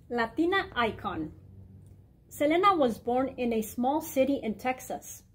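A middle-aged woman reading a children's picture book aloud in a clear, deliberate storytime way in a library room. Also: high voice. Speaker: guest reader, mayor. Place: indoor library room with bookshelves.

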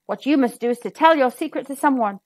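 Woman as a matter-of-fact, animated storybook doctor. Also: high voice, fast talker, no room sound. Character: calm, matter-of-fact male doctor giving advice.

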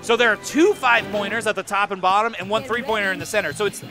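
A man doing live play-by-play and analysis of a video game match, excitable and explaining tactics in detail. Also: high voice, quick pace. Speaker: esports tournament commentator. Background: video game music.

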